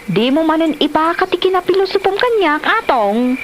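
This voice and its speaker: A woman's voice: extremely high pitch, lively intonation, moderate pace, slight background noise. A woman playing an earnest wife and mother who nags, in a comedy audio drama. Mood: earnest reproach. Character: nagging, principled wife and mother. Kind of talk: comedy radio-style drama acting.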